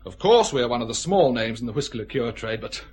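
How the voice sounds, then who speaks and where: Proud firm boss, genial, chatty explainer, medium pitch, animated swings, radio drama. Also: male voice, a little fast, breathy edge. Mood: proud, expansive. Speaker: actor in a radio detective drama. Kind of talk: audio drama acting.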